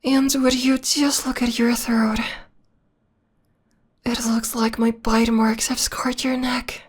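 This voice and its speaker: A woman playing a possessive, obsessed young vampire lover in an ASMR romantic roleplay, speaking softly and intimately, often in a breathy whisper. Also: a little high, flat delivery. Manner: slow, intimate, sweetly controlling.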